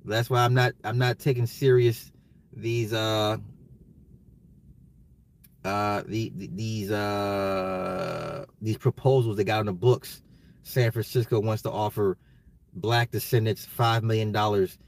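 An American man ranting casually on a livestream, emphatic and slangy, repeating his phrases, his voice getting slightly hoarse. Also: fairly low pitch, fairly even intonation, slow pace. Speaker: livestream host. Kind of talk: casual opinionated livestream rant.

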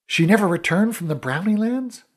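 A man's voice: high-pitched, animated, normal speed, voice pushed up. A man voicing an earnest, weary young fantasy hero with an urgent manner in an audiobook.

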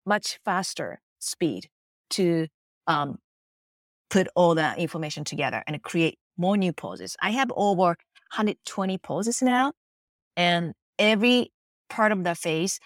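A woman's voice: on the low side, lively intonation, unhurried, dry recording. A middle-aged woman with a Japanese accent tells her story in an earnest, reflective way. She often slips into quoted lines. The recording is dry and close. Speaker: face yoga teacher. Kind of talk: interview answers.

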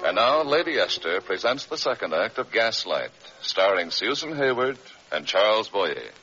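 A man's voice: fairly low pitch, sing-song, normal speed. A man making scripted announcements as a 1940s radio announcer, formal and presentational. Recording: dull old radio recording over loud music.

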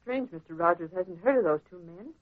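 A woman playing a sharp-tongued, teasing newspaper woman, quick to retort, in old-time radio drama. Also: fairly low pitch, expressive. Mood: insistent. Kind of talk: 1940s radio acting. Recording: thin, tinny old radio recording.

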